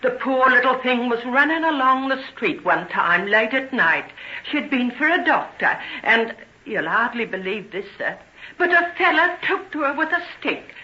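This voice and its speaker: A woman's voice: on the high side, expressive, normal speed, sharp tone. An actress playing a humble working-class mother in an old-time radio drama, deferential, garrulous and pleading, with folksy turns of phrase. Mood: distressed. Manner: deferential, tearful, folksy wording. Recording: dull vintage radio recording.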